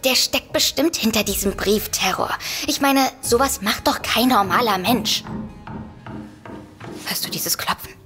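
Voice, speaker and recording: A woman's voice: fairly high pitch, measured pace, sharp tone, soft background music. A woman playing a frightened, worried novelist sister in an audio drama. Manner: worried, hesitant. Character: anxious, frightened sister, a novelist under threat. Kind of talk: German audio drama acting.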